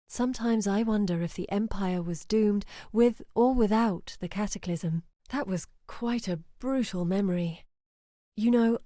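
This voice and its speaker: A woman's voice: mid-range voice, dark tone, no room sound, studio quality, natural voice. An actress playing an earnest, brooding woman scholar in a video game, with a reflective, theatrical delivery. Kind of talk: dramatic video game voice acting.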